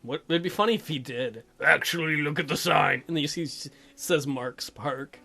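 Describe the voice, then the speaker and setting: A man's voice: a little high, moderate pace, creaky, sharp tone, soft background music. A man hosting a let's play, giving playful, irreverent, joking commentary on a game.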